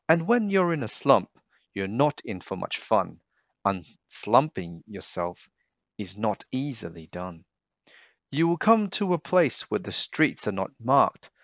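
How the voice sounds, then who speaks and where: Low-voiced man reading a storybook, very animated. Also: dry recording, dull audio. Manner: deliberate, sing-song delivery of rhyming verse. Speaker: storybook reader. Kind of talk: expressive children's read-aloud narration.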